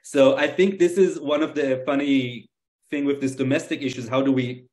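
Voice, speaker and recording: A man's voice: medium pitch, steady tone, moderate pace, a little mellow. A man from Israel with an Israeli accent, speaking as a panelist in a webinar discussion, talking conversationally with many fillers and restarts.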